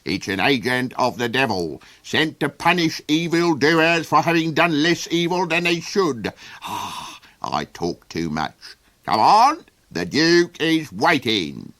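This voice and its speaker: A man voicing a gruff dungeon guard who growls his words and turns nervous and shrill when he is scared. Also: very animated, takes it slowly, a bit bright, exaggerated voice. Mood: fearful. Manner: growling, then nervous and rising when frightened. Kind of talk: audiobook character voice.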